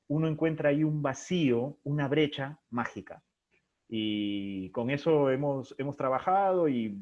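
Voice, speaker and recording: A man talks as an interview guest, thinking his way through each point. His voice sits at an average pitch and his delivery is expressive and anecdotal. The sound is muffled and lo-fi, as from an internet call. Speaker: interview guest, theatre playwright and director. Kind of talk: reflective interview conversation.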